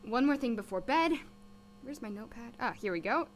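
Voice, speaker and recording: Actress as impatient young woman, very high pitch, animated, bright sharp voice, sardonic, audio drama. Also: brisk, a little hiss or hum. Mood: weary, grumbling. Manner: expressive, muttering to herself.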